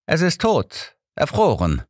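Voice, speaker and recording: Man voicing a blunt old detective, pitched up. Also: wildly swooping pitch, slow pace, husky, dry recording, studio quality, heavily acted. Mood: cool. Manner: blunt, cool, authoritative. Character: old male detective, cool, blunt, exasperated, clipped. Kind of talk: audiobook character dialogue.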